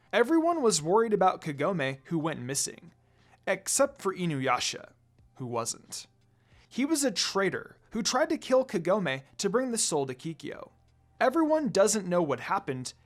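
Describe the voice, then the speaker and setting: Male storyteller for scripted fantasy fiction, fairly high voice, very lively intonation, music bed. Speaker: narrator.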